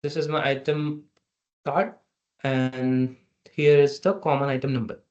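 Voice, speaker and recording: A man giving a halting, step-by-step software tutorial as a trainer over an online meeting. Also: medium pitch, little pitch movement. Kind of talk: screen-share software tutorial. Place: online meeting with screen share. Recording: dull, lo-fi online meeting audio.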